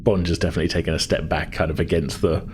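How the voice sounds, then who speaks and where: Low-pitched man running a tabletop game. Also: little pitch movement, rapid-fire, background noise. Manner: hesitant, loose, informal phrasing. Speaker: game master. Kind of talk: improvised tabletop game narration.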